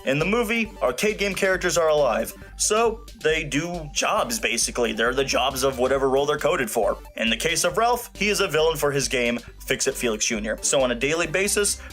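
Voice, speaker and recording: A man's voice: a little high, fairly bright tone. Guy reviewing a movie, wry and emphatic, voice goes up and down a lot, bit of music under him. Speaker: film reviewer, show host. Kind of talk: scripted video review narration.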